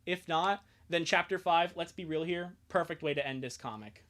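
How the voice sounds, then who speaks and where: A streamer giving casual, sarcastic live commentary on a fan comic, jokey and quick to swear. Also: medium pitch, fairly bright tone. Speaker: streamer and dub performer.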